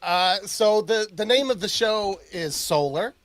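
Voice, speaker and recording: A man's voice: high voice, lively intonation, a little slow, a bit bright, dry recording. A man hosting a podcast, chatting casually with lots of fillers and restarts. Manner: rambling, filler-heavy. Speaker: podcast host. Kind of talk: casual chat.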